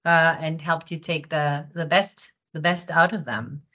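A woman's voice: low voice, steady tone, measured pace, bright, forceful tone, lo-fi sound. A woman, a therapist, interviewing on a podcast and often repeating words as she searches for them.

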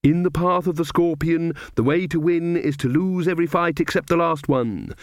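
An earnest young witch, put on by a man narrating a storybook, a touch higher and tenser than the narration. The sound is dry and close. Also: moderate pace, dry recording, lightly acted. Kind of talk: audiobook character voice.

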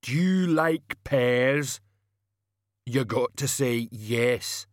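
A man voicing a huge, slow, simple-minded male thug who speaks in a childlike singsong in an audiobook. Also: medium pitch, no echo, clean studio sound. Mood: menacing.

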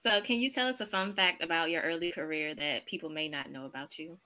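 A woman's voice: low voice, fast talker, pushed and bright. A woman hosting a casual interview, asking questions in a chatty, upbeat way with frequent "um"s. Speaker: interview host.

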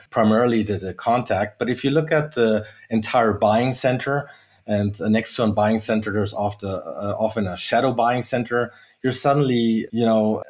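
Middle-aged man, lower register, measured interview guest, hesitant fillers, explains at length. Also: a little mellow. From United States. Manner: measured, many fillers and restarts. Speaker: guest, sales executive. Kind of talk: podcast interview.

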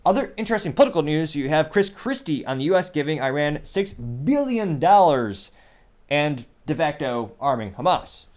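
A man's voice: on the high side, very animated, a little mellow. Rambling male commentary show host. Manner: rambling, self-correcting, mentions stuttering and speaking fast. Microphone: small USB microphone.

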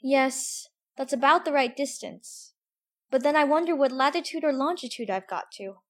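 Young girl, high-pitched, prim, wondering, chatty self-talk, audiobook. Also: normal speed, a bit bright. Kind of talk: full-cast audiobook acting.

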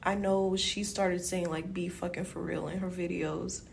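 A woman from Guyana with a creaky, slightly low voice chats casually as a podcast co-host. Her talk is informal and conversational. A little noise is audible behind her. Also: little pitch movement, moderate pace. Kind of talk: casual chat.

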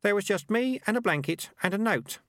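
A man's voice: high voice, animated, brisk, fairly bright tone, no echo, clean studio sound, pitched above the performer's own voice. A man voicing a simple, mild young orphan boy who answers plainly and guilelessly. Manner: plain, guileless, matter-of-fact.

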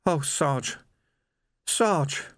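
A man voicing a distraught, naive young lance constable in an audiobook, halting and trembling. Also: high-pitched, expressive, fairly bright tone, no echo, clean studio sound, voice pushed up. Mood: shaken distress. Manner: shaky, halting, repeating himself. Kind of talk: audiobook character voice.

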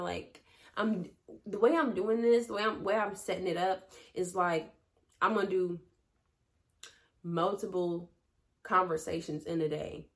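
Chatty young woman, slightly low voice. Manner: chatty, addresses listeners as y'all and baby. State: recovering from a cold. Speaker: podcast host. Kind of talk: casual solo talk to listeners.

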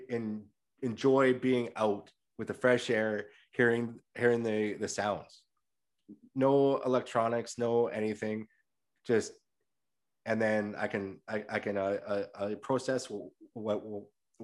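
A man from Canada hosting a casual interview, speaking haltingly with many fillers and restarts. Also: on the low side. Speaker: podcast host.

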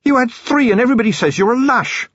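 A man's voice: extremely high pitch, animated, fast, a bit bright, no room sound, muffled recording, voice pushed up, heavily acted. A man voicing a cornered, resentful male thug who spits his words out bitterly. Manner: spitting, resentful.

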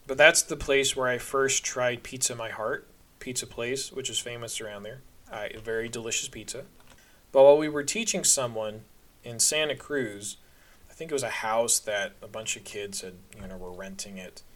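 Man, medium pitch, conversational personal storytelling, candid, wry. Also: normal speed, a bit bright. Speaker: podcast narrator.

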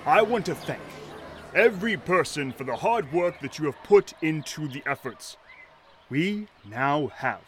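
An actor playing an earnest, pompous, kindly shantytown mayor with formal, florid speech in a 1930s audio drama. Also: male voice, on the high side, expressive, a little slow, a little hiss or hum. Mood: rousing, solemn.

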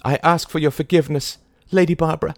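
A man voicing a sly woman trickster who speaks gently and teasingly, with giggles. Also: a bass voice, very animated, a little slow, no echo, clean studio sound, pitched above the performer's own voice, full character voice.

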